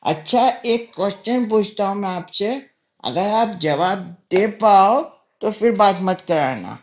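A man's voice: sits high, expressive, a little slow, lo-fi sound. A man voicing an insolent young boy who makes taunting, mock-polite prank calls in a comedy sketch. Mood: sly, teasing.

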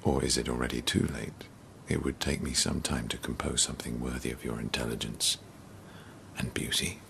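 A proud, sly poet-swordsman at court in a radio drama. His voice is extremely deep and gravelly, his delivery deliberate and courtly, with noise rumbling behind. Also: flat delivery, fairly bright tone, exaggerated voice. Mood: seductive.

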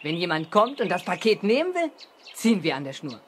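A man's voice: high-pitched, big pitch swings, a little fast. An eager, serious boy detective in a 1970s children's radio play. He speaks earnestly, over faint background noise with birds. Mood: eager, scheming.

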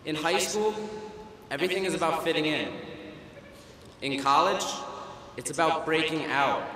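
A young man giving a humorous student commencement speech at a podium, wry and self-deprecating, with pauses for laughs. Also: a little high, a bit bright, a little hiss or hum. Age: young adult. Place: large auditorium stage at a podium. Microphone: podium microphone. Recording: echoey hall through a PA system. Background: audience laughter and hall ambience.

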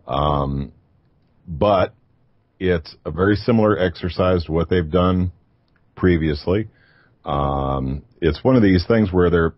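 Very deep husky American man. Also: no room sound. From United States. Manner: measured, explanatory, many fillers. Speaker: radio guest. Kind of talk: casual roundtable chat. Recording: dull online call audio.

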